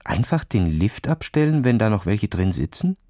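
A man's voice: fairly low pitch, sing-song, moderate pace, warm tone, dry recording, studio quality. A man voicing an anxious, timid boy who tries to seem cool, in a children's audio story with a hesitant manner. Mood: frightened. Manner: hesitant, uneasy, short replies.

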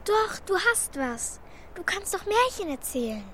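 A woman's voice: extremely high pitch, sing-song, unhurried, fairly bright tone, a little hiss or hum, full character voice. A woman voicing a plucky, bossy orphan girl in a children's radio play, blunt and pert in manner. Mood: urgent.

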